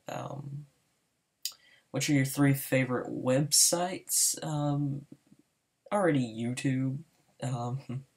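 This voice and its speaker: Nervous Southern teen boy, gravelly. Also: medium pitch. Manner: nervous, hesitant, many ums. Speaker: gamer applying to a server. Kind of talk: casual voice-over gameplay commentary.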